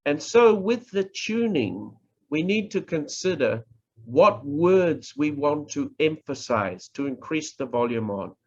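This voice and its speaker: A man with a New Zealand accent giving a public speaking workshop, talking in a measured, deliberate way and stressing words to demonstrate. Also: fairly high pitch, sing-song, darkish tone. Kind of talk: slow instructional presentation. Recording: dull lo-fi recording.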